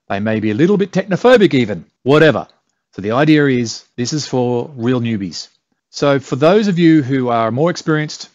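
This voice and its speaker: A man's voice: medium pitch, no echo. A middle-aged man with an Australian accent talks through a tech tutorial in a loose, joking, self-mocking way. The sound is dry and close, with little room around it and a slightly dull, muffled top end. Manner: chatty, self-deprecating, blunt with mild swearing. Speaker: tutorial host. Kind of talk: casual screen-recorded tech tutorial.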